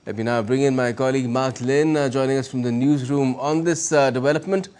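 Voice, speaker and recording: A man's voice: mid-range voice, fairly even intonation, fairly quick, darkish tone, clean tone. A man with an Indian accent anchoring a live news broadcast, reading developments and putting questions to a colleague.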